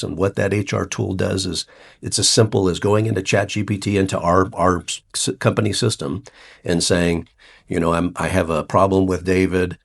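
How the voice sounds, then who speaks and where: A middle-aged man with a cold that deepens his voice, chatting as the guest of an interview. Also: gravelly, breathy edge. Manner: relaxed and chatty, frequent restarts. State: has a cold, voice deeper than usual. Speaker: funeral home CEO, guest. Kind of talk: casual interview talk.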